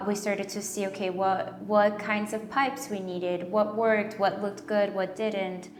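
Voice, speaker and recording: This is a young adult woman from Brazil who works as an architectural designer and artist. She explains her project in a calm, measured way. Her voice sounds roomy and echoey, with clear music playing underneath. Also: mid-range voice. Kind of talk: documentary interview.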